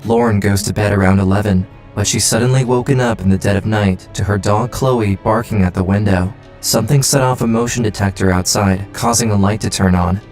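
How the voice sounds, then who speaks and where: Low-voiced man narrating true crime. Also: fairly even intonation, a little fast, few pauses, a little mellow, soft background music. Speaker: documentary narrator.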